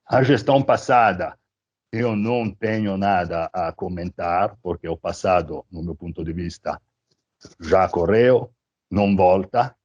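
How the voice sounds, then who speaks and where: A man's voice: low voice, measured pace, a little mellow, dry recording, muffled recording. A man from Italy with an Italian accent, a native Italian speaker, explaining things methodically as he answers an interview. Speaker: candidate for a community committee, interviewee.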